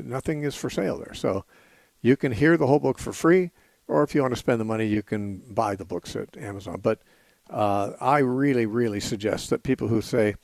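Guy teaching bible stuff on call-in radio, steady, lots of uhs, dry close mic. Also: mid-range voice, gravelly, no room sound. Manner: earnest, steady teaching with frequent "uh" and restarts.

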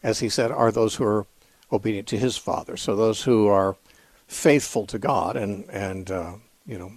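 A man's voice: fairly low pitch, moderate pace, darkish tone, dry recording. Radio host man with dry studio sound. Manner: thoughtful, hesitant with repeated words and fillers. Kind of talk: call-in radio Bible talk.

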